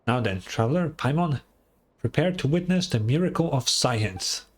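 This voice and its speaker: An overconfident schemer from a fantasy game, played by a man reading aloud. The voice sits at a middling pitch with music behind it, breezy and grandiose, forever selling a flawless plan. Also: animated, moderate pace, natural voice. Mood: grandiose, confident. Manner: grandiose, breezy, self-assured. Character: boastful, overconfident schemer, self-styled moon-goddess devotee and inventor.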